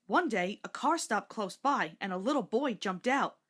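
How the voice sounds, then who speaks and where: A woman's voice: mid-range voice, lively intonation, normal speed, fairly bright tone, breathy edge. A woman reading a scripted children's story aloud as narrator.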